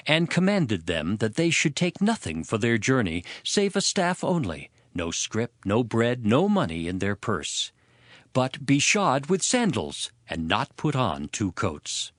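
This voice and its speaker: A man narrating a dramatized scripture production with measured, expressive storytelling. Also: on the low side, no echo, studio quality. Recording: music behind the voice. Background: prominent background music.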